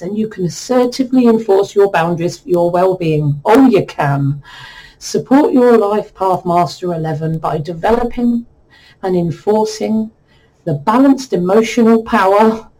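A woman giving a chatty, repetitive numerology reading, her voice croaky and a bit worn from the change of season. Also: on the low side, mellow, low-quality recording. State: voice croaky from a cold spell. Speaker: numerology reader. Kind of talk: explanatory numerology reading monologue.

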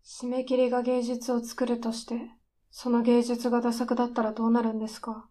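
Radio drama role, played by a woman: would-be female screenwriter at the end of her rope, higher-pitched, needling and defiant. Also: monotone, fairly quick, dark tone, natural voice. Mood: bitter, challenging. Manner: needling, intense, provocative polite speech. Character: desperate, defiant, lazy aspiring female scriptwriter. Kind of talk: radio drama dialogue acting.

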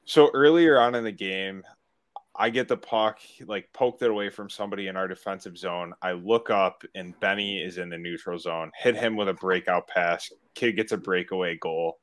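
A man's voice: low-pitched, steady tone, normal speed. A young man telling stories in a casual podcast chat, self-mocking and laughing often. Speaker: podcast regular.

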